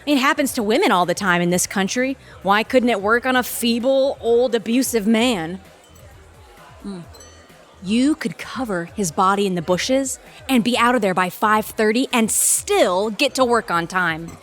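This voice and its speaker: A woman's voice: on the high side, measured pace, faint music behind, lightly acted. A woman playing an intense, bitter, ambitious lawyer and boss in an audio drama, sardonic and emphatic. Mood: cool, scheming. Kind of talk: audio theatre acting.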